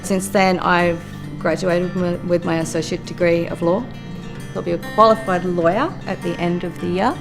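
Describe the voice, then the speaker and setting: Middle-aged aussie woman, earnest personal story, low gravelly voice, music underneath. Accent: Australian. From Australia. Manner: earnest, reflective, steady. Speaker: youth worker and law graduate. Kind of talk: personal testimony for a documentary. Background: soft music.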